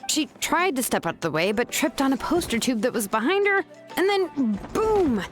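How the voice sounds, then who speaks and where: A woman voicing a calm, bookish craftswoman in a video game, explaining things in a measured, scripted way. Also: high voice, faint music behind. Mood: wry storytelling. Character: calm, bookish adult craftswoman who forges ancient names.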